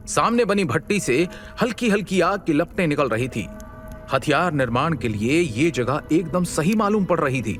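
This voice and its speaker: Male narrator, slightly high voice, for dramatic suspense fiction; measured storytelling with a music bed. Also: fairly bright tone. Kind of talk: dramatic scripted audio-story narration in Hindi. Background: background music.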